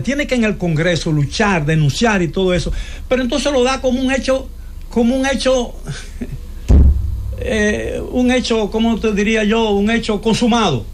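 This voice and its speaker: A man's voice: high voice, slight background noise. A man debating politics on a talk show, hesitating with many filler sounds and restarts, often asking for agreement. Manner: opinionated, asks listener for agreement.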